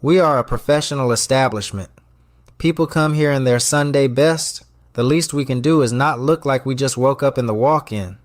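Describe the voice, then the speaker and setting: Audio story restaurant boss, male performer: fussy, commanding, snappy delivery, mid-pitched, very dry close sound. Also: moderate pace, no echo, close to an everyday voice. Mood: bossy, exasperated. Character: fussy, bossy male restaurant manager, sharp-tongued. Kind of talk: audio story character dialogue.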